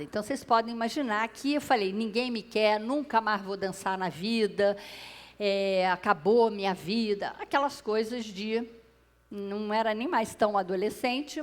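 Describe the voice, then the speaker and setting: Woman, Brazilian Portuguese accent, storytelling talk, speaks straight to the audience, clip-on mic, middle pitch. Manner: lively storyteller who addresses the audience directly. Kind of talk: personal storytelling to a live audience. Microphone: clip-on microphone.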